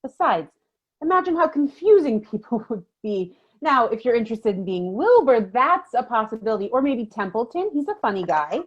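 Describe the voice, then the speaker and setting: Woman as a husky, animated, condescending schoolteacher. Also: on the high side. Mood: patronizing, dismissive. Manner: brisk, disapproving, patronizing. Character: stern, condescending female elementary school teacher. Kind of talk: dramatic novel reading. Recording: dull, lo-fi sound.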